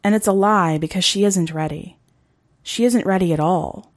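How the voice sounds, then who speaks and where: A woman narrating a short story in a measured, dramatic way, as a podcast host reading scripted fiction. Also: lowish voice, no room sound, studio quality.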